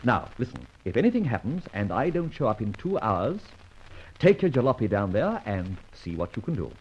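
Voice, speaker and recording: An actor playing a dashing, breezy gentleman adventurer in an old-time radio detective drama. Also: medium pitch, sing-song, moderate pace, slightly rough. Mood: brisk, confiding. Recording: dull, lo-fi old radio recording, faint music.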